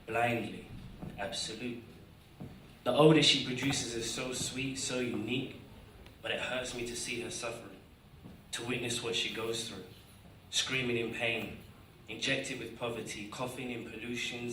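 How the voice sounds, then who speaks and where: Young man declaiming poetry, bright tone. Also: medium pitch, slight background noise. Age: young adult. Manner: rhythmic, declamatory delivery. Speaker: competition winner reading his poem. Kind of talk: poetry reading. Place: indoor event room. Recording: roomy hall, distant mic.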